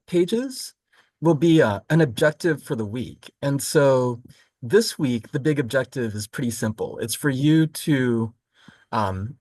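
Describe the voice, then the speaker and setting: A man with a mid-pitched voice gives a recorded course overview. He explains things in a relaxed way, pausing on ums and correcting himself. The sound is dry and close, with no room echo. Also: breathy edge. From Florida, United States. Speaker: college literature instructor.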